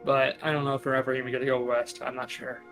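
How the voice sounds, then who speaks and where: A man's voice: mid-range voice, level tone, quick pace, a bit bright. A man playing a tabletop roleplaying game, chatting casually and improvising in a hesitant, apologetic way. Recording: music behind the voice. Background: music.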